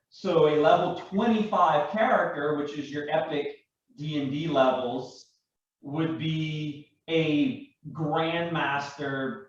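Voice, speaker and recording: Man in a very echoey, muffled room. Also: a little high. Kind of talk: casual unscripted chat, explaining game rules. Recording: echoey room, distant mic, muffled sound.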